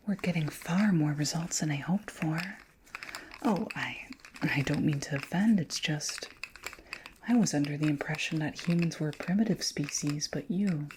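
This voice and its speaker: A woman voicing a curious, tender alien queen in a soft, breathy whisper for an ASMR roleplay. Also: deep voice, background noise. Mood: fascinated, curious. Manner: slow, gentle, formally worded, soothing. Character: alien queen, curious, clinical yet tender, flirtatious scientist.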